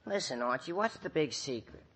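A man's voice: a little high, lively intonation, moderate pace, a bit bright, husky, exaggerated voice. An actor playing a droll, doubting teenage boy sidekick in an old-time radio comedy. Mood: skeptical.

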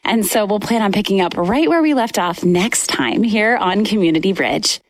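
A woman's voice: mid-range voice, lively intonation, moderate pace, no room sound. A woman hosting an interview show, giving affirming responses and wrapping up the segment. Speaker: host.

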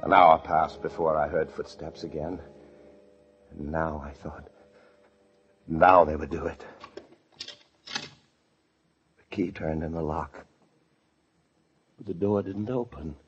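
An actor playing a paranoid fugitive husband, narrating an old-time radio thriller with intense dread. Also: male voice, rumbling low, fairly even intonation, slow pace, pauses often, a little hiss or hum. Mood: brooding dread. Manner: intense, breathless dread, swinging from brooding to frantic shouts. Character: desperate, paranoid fugitive husband confined in a locked room. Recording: dull old radio recording with music.

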